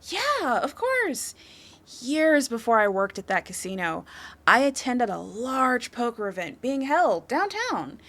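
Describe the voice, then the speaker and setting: A woman acts out a sly, flirty female suspect in an audio roleplay, toying with a detective. Her delivery is unhurried and highly animated, swinging in pitch and settling into a creaky edge. She stays confident, coy and playful. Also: full character voice. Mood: playful teasing. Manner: coy, teasing, playful delivery with breathy drops into whispers. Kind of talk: flirtatious scripted audio roleplay, addressed to the listener.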